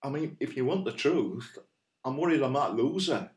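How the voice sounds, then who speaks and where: An actor playing an earnest, anxious Northern boyfriend in an audio play, with a West Yorkshire accent and a hesitant, rambling, colloquial way of talking. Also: male voice, mid-range voice, animated, moderate pace, roomy sound, close to an everyday voice. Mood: anxious, pleading. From West Yorkshire, England. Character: earnest, anxious, plain-spoken Northern boyfriend, out of his depth.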